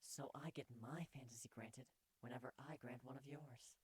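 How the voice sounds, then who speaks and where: A man voicing a playful, affectionate young female changeling pony with a breathy, coaxing voice in a fan fiction reading. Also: medium pitch, fairly even intonation, normal speed, natural voice. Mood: loving, hushed. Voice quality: breathy, hushed. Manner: teasing, coaxing, tender.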